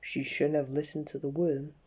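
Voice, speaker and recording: A bedtime story reader with a husky, dark-toned voice. The delivery is storybook narration, read aloud haltingly with small slips. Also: mid-range voice, little pitch movement.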